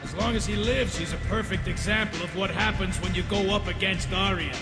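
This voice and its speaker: A man's voice: sits high, a little fast, loud music behind the voice. An actor playing a curt, cagey henchman of a crime boss in a sci-fi video game, blunt and streetwise. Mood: businesslike. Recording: echoey hall reverb.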